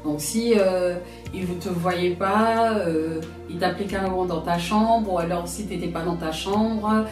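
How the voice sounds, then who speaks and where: A woman's voice: on the low side, measured pace, darkish tone, faint music behind. A woman in her thirties from France, giving a personal testimony as an interview guest, speaking in a reflective, hesitant way as she searches for words. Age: 30s. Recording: roomy, from a distance.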